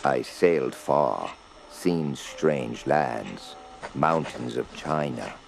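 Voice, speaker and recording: An actor playing an old weathered sailor and cryptic cultist in a radio horror drama, speaking broken English in an ominous, gravelly voice. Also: male voice, expressive, extremely slow, background noise, full character voice. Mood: ominous, reverent. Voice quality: very deep, gravelly. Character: ancient weathered sailor, cryptic doom-laden cultist prisoner.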